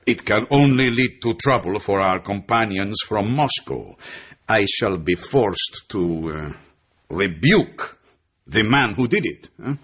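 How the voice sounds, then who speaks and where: An actor playing a genial, shrewd Turkish intelligence chief in a radio drama, with a Turkish accent, a husky voice and an expansive manner. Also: male voice, lowish voice, a bit bright, lo-fi sound, exaggerated voice. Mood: grumbling, amused. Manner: expansive, tags sentences with a questioning "eh".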